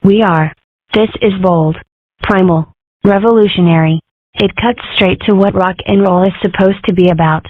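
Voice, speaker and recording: Woman playing a brash fox girl, mid-pitched, cheeky, blunt, dry narrow-band recording. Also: steady tone, moderate pace, dry recording, lightly acted. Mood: defiant. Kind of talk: comic strip dub dialogue. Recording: narrow phone-like audio, dry.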